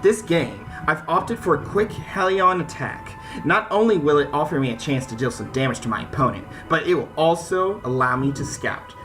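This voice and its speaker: Casual American male game streamer, slightly high and lively, stammery delivery while reading and commenting. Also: normal speed, few pauses. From United States. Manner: informal, stammering, repeats words. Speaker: gaming video host. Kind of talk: casual let's-play commentary, reading game text aloud. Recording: music behind the voice.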